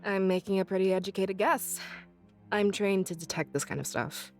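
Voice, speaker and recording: A woman's voice: mid-range voice, moderate pace, a little mellow, faint music behind, natural voice. A woman voicing an earnest young seer, devoted to the spirits, in a visual novel game, explaining things with assurance. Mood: confident, cautious.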